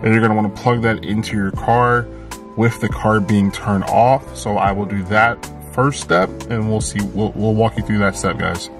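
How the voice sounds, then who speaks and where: A man's voice: on the low side, moderate pace, soft background music. A man hosting a car channel, talking casually step by step through a tutorial with lots of fillers, recorded inside a parked car. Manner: casual, repeats steps, many fillers.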